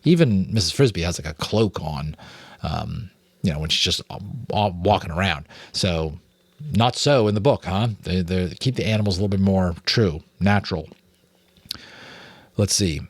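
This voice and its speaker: A man's voice: deep voice, normal speed, husky, dry recording. A man with an American accent narrating a children's novel dramatically, with casual asides. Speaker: audiobook narrator, amateur voice actor.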